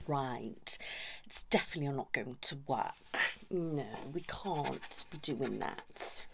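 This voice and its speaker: A woman's voice: very deep voice, takes it slowly, vocal fry, a little hiss or hum, heavily acted. A British woman playing a cheeky, blunt couples therapist in an improvised, whispered ASMR roleplay, rambling with hesitant asides. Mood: matter-of-fact, teasing.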